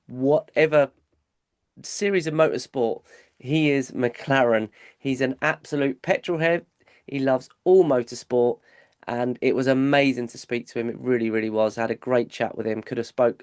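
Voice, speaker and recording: A man's voice: mid-range voice, clear, resonant tone, no room sound, clean studio sound. A man hosting a podcast in casual chat, congested from a cold, chatty and repeating himself. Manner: chatty, repeats words, says mate often. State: congested, stuffy-nosed. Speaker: podcast host.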